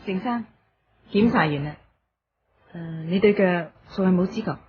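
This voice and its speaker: An actress playing a calm hospital doctor, explaining things in a measured, reassuring way in a radio drama. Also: female voice, fairly low pitch, a little hiss or hum, natural voice. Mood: consoling. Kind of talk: Cantonese radio drama acting. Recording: dull radio drama recording, music behind.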